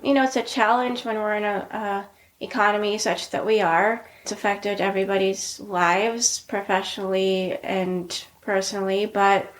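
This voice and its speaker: A middle-aged woman speaking softly and casually, her pitch staying in a narrow, level band. She leans on filler words as she talks things through with a friend. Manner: soft-spoken, many "like" fillers, steady even delivery. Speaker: podcast co-host, pediatrician. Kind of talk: casual conversational chat.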